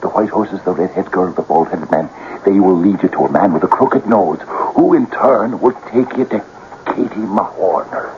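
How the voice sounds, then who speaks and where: An actor in a 1970s radio drama playing an excitable, cajoling sidekick who believes in fate, with a theatrical delivery. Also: male voice, deep voice, normal speed, close to an everyday voice. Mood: excited, hushed. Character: excitable, coaxing, fate-believing male sidekick, a wily talker. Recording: dull, noisy old radio broadcast recording.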